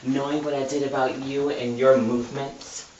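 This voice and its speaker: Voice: mid-range voice, flat delivery, normal speed. A person reading a novel aloud casually in a mock-dramatic, giggly way. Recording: roomy, distant mic, muffled, noisy.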